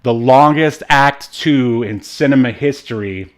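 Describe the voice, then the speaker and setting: A man co-hosting a casual film discussion, talking in a halting, rambling way. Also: medium pitch. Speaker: podcast co-host reviewing films.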